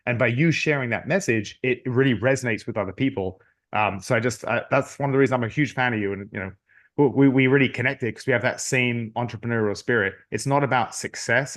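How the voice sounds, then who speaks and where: A man's voice: mid-range voice, fairly bright tone. A middle-aged man talking earnestly as a podcast guest, often restarting and repeating words. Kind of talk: casual interview talk.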